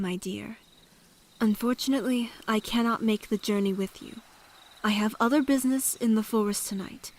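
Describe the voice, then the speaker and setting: A woman playing a mysterious forest woman in an audio drama, speaking in a formal, measured, old-fashioned way and calmly giving instruction. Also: fairly high pitch, slight background noise, natural voice. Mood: formal, courteous.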